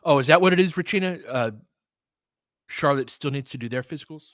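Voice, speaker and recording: A man's voice: a little high, fairly quick, a bit bright, no room sound. American man, dry close sound, casual basketball analysis. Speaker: sports show host and game commentator. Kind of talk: casual live basketball analysis, chatting with listeners.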